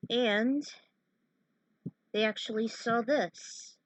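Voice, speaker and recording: A woman narrating her own story casually, pausing for halting, informal asides to viewers. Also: fairly high pitch, low-quality recording. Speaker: fan fiction author narrating own story.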